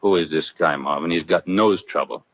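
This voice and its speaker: An actor in a 1950s radio drama playing a gruff, dim, crooked horse trainer with a rough voice and blunt, slangy tough-guy talk. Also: low voice, fairly quick, muffled recording. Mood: suspicious. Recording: thin, band-limited vintage radio broadcast.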